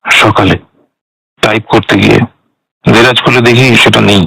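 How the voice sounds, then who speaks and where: সতর্ক, ভদ্র সেক্রেটারি, ধারালো গলা. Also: male voice, fairly low pitch, fairly even intonation, moderate pace, vocal fry, pushed and bright, husky, no room sound, full character voice, poor audio. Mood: guarded, polite. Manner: hesitant, careful, defensive answers. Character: guarded, polite male secretary under questioning. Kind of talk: radio drama acting.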